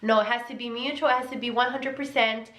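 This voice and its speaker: A woman's voice: on the high side, fairly even intonation, fast talker, a bit bright. A young woman with a Mexican accent giving relationship advice in a casual vlog, earnest and emphatic, repeating key words. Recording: echoey room.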